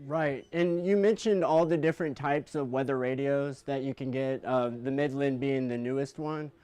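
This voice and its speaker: A man's voice: mid-range voice, normal speed, dark tone, clean tone. A man working as a digital news host, asking questions in a casual interview.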